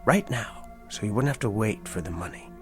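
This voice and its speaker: An actor playing a calculating, quietly persuasive man in a television drama, speaking deliberately and insistently like a salesman closing a deal. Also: fairly low pitch, expressive, creaky, faint music behind. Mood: coaxing, persuasive.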